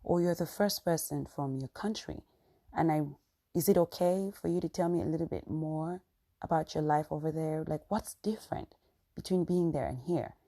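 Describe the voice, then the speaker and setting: Cameroonian woman vlogging, low warm voice, earnest and chatty with lots of you know. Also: normal speed. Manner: earnest, conversational, frequent "you know" asides. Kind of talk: personal storytelling to camera.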